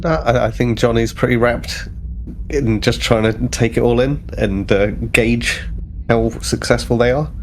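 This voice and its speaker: A man's voice: mid-range voice, fairly even intonation, unhurried, slight background noise. A man talking casually about his character as a player in a tabletop roleplaying game.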